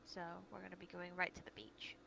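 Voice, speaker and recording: A woman with a creaky voice talking in an improvised online roleplay as the group's leader. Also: lowish voice, animated, fairly quick, sharp tone. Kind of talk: in-character improvised roleplay chat. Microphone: VRChat voice chat headset or mic. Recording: noisy online voice chat.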